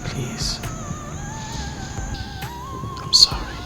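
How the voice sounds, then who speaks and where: An actor playing a remorseful young husband in a palace, softly begging his wife to forgive him. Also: deep voice, huge pitch swings, measured pace, music in the background, full character voice. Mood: pleading. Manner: soft, pleading, apologetic. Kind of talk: film acting.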